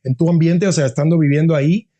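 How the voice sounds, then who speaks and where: A man's voice: on the high side, steady tone, fast talker, dark tone. A middle-aged man, a university dean, talking earnestly in a casual interview and often restarting his phrases.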